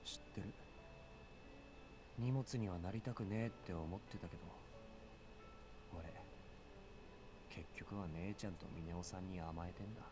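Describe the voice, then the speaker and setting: A man's voice: low voice, fast, pauses often, natural voice. A man voicing a subdued, self-critical teenage younger brother in a Japanese visual novel, with blunt, reserved speech and a Japanese accent. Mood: downcast, sincere. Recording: music behind the voice.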